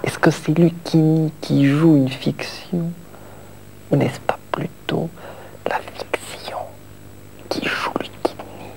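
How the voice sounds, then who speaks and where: Une femme qui parle lentement sur un ton maniéré, presque en chuchotant. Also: female voice, low voice, fairly even intonation, slow pace, creaky, long pauses, in a whisper, poor audio.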